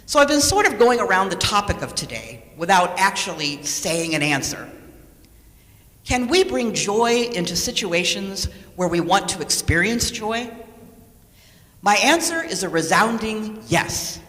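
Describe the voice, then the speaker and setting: A woman reading a scripted sermon as a lay speaker, measured and deliberate, pausing for emphasis. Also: mid-range voice, very animated, fairly bright tone. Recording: echoey hall with long reverb.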